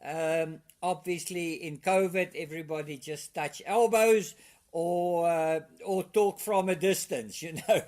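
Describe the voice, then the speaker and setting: A middle-aged South African man with an Afrikaans accent, a guest in a casual interview, talking haltingly with many fillers and restarts. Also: fairly high pitch, fairly even intonation, darkish tone.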